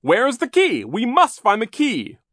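A man's voice: mid-range voice, sing-song, moderate pace, fairly bright tone, dry recording, pitched above the performer's own voice. Guy doing a blustery stern official type man from a storybook, pompous n emphatic, dry close sound. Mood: blustering. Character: stern, blustering, officious adult male guardian.

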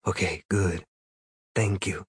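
Man as a slow, husky, deep-voiced she-demon. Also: steady tone, no echo, studio quality, heavily acted. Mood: clinical probing. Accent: foreign. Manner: slow, deliberate, matter-of-fact questioning. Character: cool, probing female demon with deep voice.

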